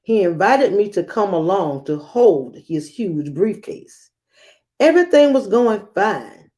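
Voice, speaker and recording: Slow, mellow woman reading aloud. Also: medium pitch, big pitch swings. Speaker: read-aloud host and teacher. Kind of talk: children's story read-aloud with teaching tips.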